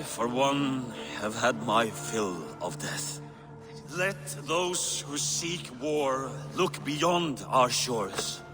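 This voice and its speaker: A slow, grave older Norse king. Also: mid-range voice. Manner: solemn, oratorical. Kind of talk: dramatic scripted game acting. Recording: echoey hall with music underneath.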